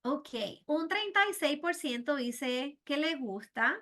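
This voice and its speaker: A woman's voice: high voice, lively intonation, slow, a bit bright. A middle-aged woman with a Puerto Rican accent presenting a webinar to healthcare providers, earnest, with frequent fillers and "¿verdad?" tags. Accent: Puerto Rican Spanish. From Puerto Rico. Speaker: program director presenting a webinar.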